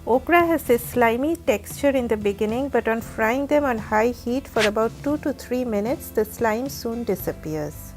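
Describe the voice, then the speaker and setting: Cooking host, female, raspy and heavy from illness, slightly high voice, methodical instructional read. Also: expressive, normal speed, hardly any pauses, darkish tone, faint music behind. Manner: careful, step-by-step instructing. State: hoarse from a flu. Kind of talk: recipe voice-over narration.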